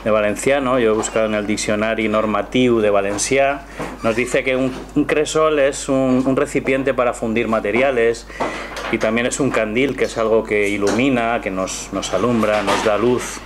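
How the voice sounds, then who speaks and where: A man giving a measured, reflective presentation of his book before an audience in a small cultural café. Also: medium pitch, fairly even intonation. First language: not Catalan. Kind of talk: prepared presentation speech with poetry reading. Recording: noisy room ambience.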